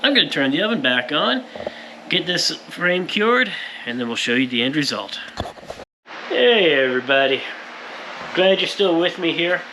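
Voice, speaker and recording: Plain-spoken man over clear shop noise. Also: fairly high pitch, very animated, moderate pace, long pauses, sharp tone, low-quality recording. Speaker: powder coater presenting his shop's work. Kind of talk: casual hands-on workshop narration to viewers. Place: powder coating workshop.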